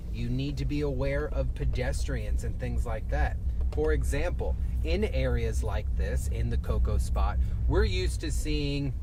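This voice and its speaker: A man with a Southern twang, a traffic safety reporter chatting casually through a live stream from inside his truck, rambling with lots of fillers. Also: medium pitch. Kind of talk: live-streamed casual commentary while driving. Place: inside a parked and moving truck. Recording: faint vehicle noise behind.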